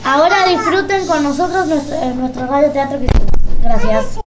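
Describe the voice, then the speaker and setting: A woman's voice: sits high, lively intonation, brisk. A woman presenting a radio show with a casual introduction addressed to listeners.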